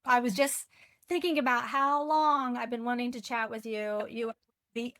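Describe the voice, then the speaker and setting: Cheerful lady host asking stuff, kinda high voice, dry sound. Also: little pitch movement, normal speed, fairly bright tone, no room sound. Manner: upbeat, welcoming questioner. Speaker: podcast host. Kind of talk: interview hosting.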